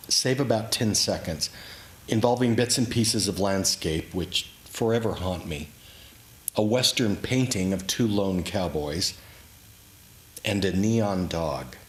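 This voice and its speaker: A man giving a dramatic literary reading of his own memoir, in deliberate, measured short phrases. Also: fairly low pitch. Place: room in a historic house, live event. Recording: echoey room, live reading.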